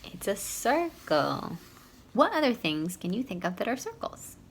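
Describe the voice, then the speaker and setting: A woman reading a storybook aloud to young children, expressive and playful, in a teacherly way. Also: mid-range voice, a little slow, slightly rough. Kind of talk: read-aloud storybook narration with questions to young listeners.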